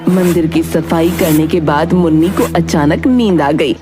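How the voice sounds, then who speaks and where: Woman storyteller over clear background music. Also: low-pitched, unhurried. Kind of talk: scripted children's story narration. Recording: music behind narration.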